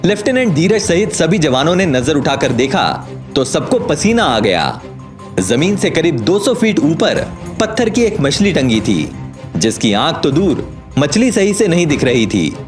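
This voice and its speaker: A man's voice: a little high, very animated, measured pace, music in the background. A man reading a scripted audio story as its narrator, dramatic, suspenseful and emphatic.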